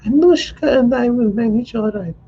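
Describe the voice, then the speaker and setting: A man voicing a gentle young wife who speaks softly and reassuringly. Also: very high voice, normal speed, breathy edge, muffled recording, voice pushed up, full character voice. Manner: soft, reassuring, smiling.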